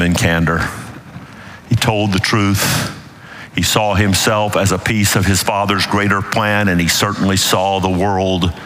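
A middle-aged man delivers a sermon in a big, booming voice that sits a little high for a man. His tone is bright and forceful, and his emphasis swells and eases as he presses each point. The sound carries the long reverb of a church sanctuary. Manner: loud, emphatic preaching with shifting intensity. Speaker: pastor. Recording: long reverb of a large hall.

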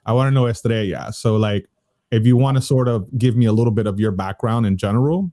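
A man's voice: fairly low pitch, fast talker, warm tone, dry recording, clean studio sound. A man in his thirties interviewing in an informal, agreeable, rambling conversational way. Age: 30s.

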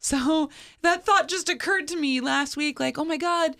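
Gravelly-voiced woman speaking earnestly. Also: high-pitched, normal speed, fairly bright tone, no echo, clean studio sound. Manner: earnest, reflective, quoting her own thoughts. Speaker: guest. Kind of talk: candid personal conversation.

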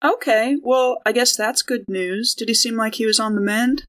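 A woman's voice: fairly high pitch, moderate pace, a bit bright. A woman playing a tabletop role-playing game, chatting informally and thinking aloud as she improvises. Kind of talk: casual improvised tabletop role-play chat.

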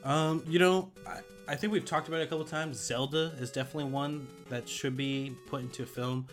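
A man's voice: on the high side, steady tone, fairly quick, faint music behind. A man co-hosting a pop culture podcast in an informal, agreeable, chatty way. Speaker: podcast co-host.

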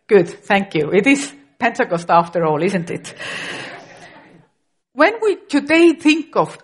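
A middle-aged woman from Estonia, a native Estonian speaker with an Estonian accent, preaching a sermon carefully and with occasional restarts. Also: medium pitch.

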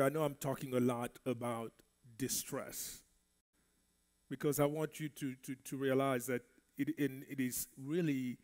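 A man from the Congo whose first language is French, teaching a Bible study in a church in a conversational preaching style. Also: medium pitch. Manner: conversational preaching, repeats words. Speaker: minister.

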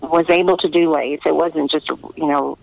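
Earnest middle-aged woman, creaky voice, remote line. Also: sits low, steady tone, moderate pace, dry recording, lo-fi sound. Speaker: interviewed historical novelist. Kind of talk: conversational interview answers.

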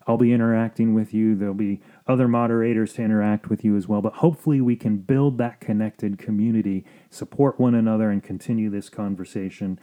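A man hosting a podcast as a marriage counselor, explaining things in an earnest, measured monologue. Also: medium pitch, dark tone.

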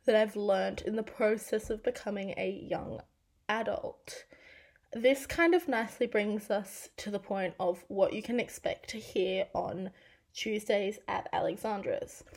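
A young Australian woman in her twenties talks through her own podcast. Her voice is croaky and raspy because she has nearly lost it, but she stays chatty and pokes fun at herself. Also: mid-range voice, darkish tone. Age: 20s. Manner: chatty and self-deprecating. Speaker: podcast host. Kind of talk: casual solo podcast monologue.

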